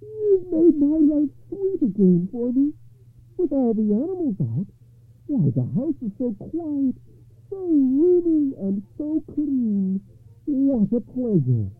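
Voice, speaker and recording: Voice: high-pitched, expressive, measured pace, no echo, faint music behind, voice pushed up. A performer voicing a poor village man in a folk tale, plaintive, overwrought and given to exclaiming. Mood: overjoyed. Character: desperate poor village man, wailing and pleading. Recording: thin phone-like sound.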